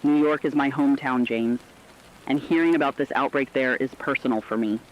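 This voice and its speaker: A man's voice: medium pitch, a little fast, highs cut off, close to an everyday voice. A man playing a concerned, compassionate radio co-host, giving scripted commentary in an earnest, emotive way. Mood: somber concern.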